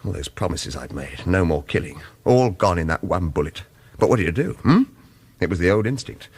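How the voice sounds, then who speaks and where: A man's voice: sits low, animated, fairly quick, darkish tone, husky, full character voice. A man playing a hard-boiled, commanding gunman hero in a radio thriller, with clipped orders and wry narration. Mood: brooding narration. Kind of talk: radio thriller acting with first-person narration.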